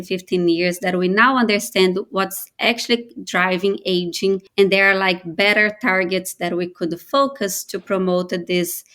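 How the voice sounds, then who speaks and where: A woman's voice: medium pitch, fairly bright tone. Brazilian woman explaining things earnestly. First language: Portuguese. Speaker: guest, biotech founder. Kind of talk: interview answers.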